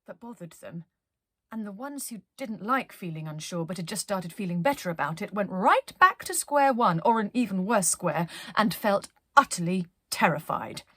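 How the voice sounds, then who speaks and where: A woman hosting storytime, narrating a children's story expressively and deliberately, with hushed phrase endings. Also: medium pitch, expressive, no room sound. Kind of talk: animated children's story reading.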